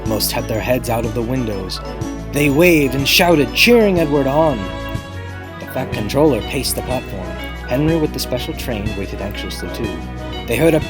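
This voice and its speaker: A man narrating a scripted children's story in a theatrical, emphatic storytelling manner. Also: medium pitch. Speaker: storybook reader. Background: music.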